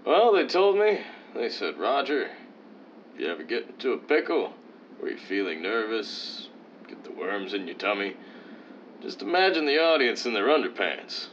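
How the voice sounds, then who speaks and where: Vain radio leading man, male actor: pompous, jovial, condescending, very animated pitch, old-time radio comedy, slightly noisy dull recording. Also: normal speed. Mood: avuncular. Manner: pompous, theatrical, swooping emphasis. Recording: dull lo-fi sound, faint noise.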